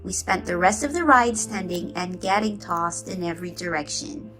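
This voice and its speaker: A woman's voice: fairly low pitch, normal speed, a bit bright, faint music behind, low-quality recording. A woman reading a children's storybook aloud, expressively acting out the dialogue. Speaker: storybook reader.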